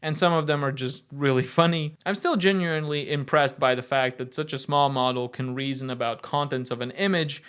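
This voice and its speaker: A man's voice: mid-range voice, fairly even intonation, fairly quick, hardly any pauses, fairly bright tone, clean tone. A man from Latvia, whose first language is Latvian, narrating a casual tech demo with enthusiastic, amused step-by-step commentary. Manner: enthusiastic, amused, walks through tests step by step. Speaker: tech video host.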